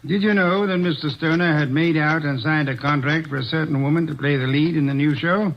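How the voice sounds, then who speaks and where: A shrewd, commanding detective who weighs every word in a voice pitched a little high. The sound is muffled and dull, like an old radio broadcast. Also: male voice, vocal fry. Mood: probing. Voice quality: measured and deliberate. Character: shrewd portly male detective, calm and commanding. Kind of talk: 1940s radio acting.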